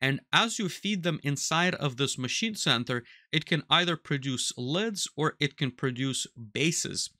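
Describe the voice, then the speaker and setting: A man in his thirties giving a step-by-step software tutorial on automation, talking methodically over a headset mic in a small workshop. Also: a little high, lively intonation, a little slow, few pauses, no room sound, studio quality. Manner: explanatory, methodical, walks through steps aloud. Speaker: automation instructor. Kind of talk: screen-recorded software tutorial. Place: small workshop room with control panels.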